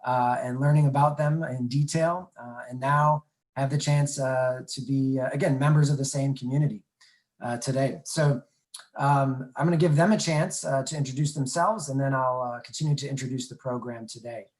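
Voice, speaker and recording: A middle-aged man, an admissions director, hosting an online panel, talking haltingly with many filler sounds. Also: medium pitch, steady tone, a little mellow, low-quality recording. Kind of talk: webinar presentation.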